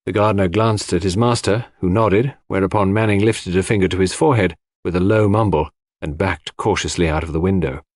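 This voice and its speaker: A man narrating a scripted audiobook story in an expressive storytelling style. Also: low voice, a little fast, few pauses, dry recording, studio quality.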